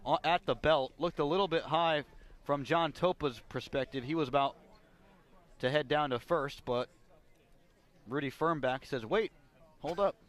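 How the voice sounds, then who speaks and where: A man's voice: fairly high pitch, normal speed, pauses often. A man giving live radio play-by-play commentary as a baseball announcer.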